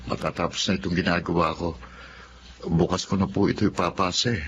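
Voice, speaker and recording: An actor playing an earnest, kind young working student, polite and reassuring, in a melodrama. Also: male voice, low voice, flat delivery, fairly quick, lightly acted. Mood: gentle, reassuring. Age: young adult. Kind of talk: melodramatic drama acting. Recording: muffled, noisy drama audio.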